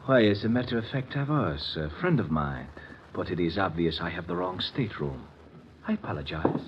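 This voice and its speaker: An actor playing a shifty, evasive bar owner in a 1950s radio drama, speaking in a stiff, formal, guarded way. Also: male voice, deep voice, animated, moderate pace. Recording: muffled, noisy old radio recording.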